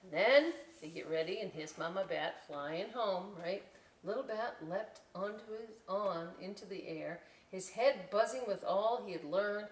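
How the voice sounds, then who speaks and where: A woman's voice: mid-range voice, measured pace, slight background noise. A woman hosting a library story time, reading a picture book aloud with chatty, folksy asides to children. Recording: echoey room, distant microphone.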